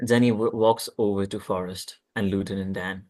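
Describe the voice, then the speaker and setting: Low-voiced man reading stage directions. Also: steady tone, normal speed, darkish tone. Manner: plain reading with stumbles. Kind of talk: scripted narration at a table read.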